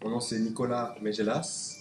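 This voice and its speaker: A man's voice: on the low side, level tone, moderate pace. A man from Canada giving a conference presentation, talking through slides with many fillers and restarts. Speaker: conference speaker. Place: conference hall. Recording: echoey hall, faint background noise.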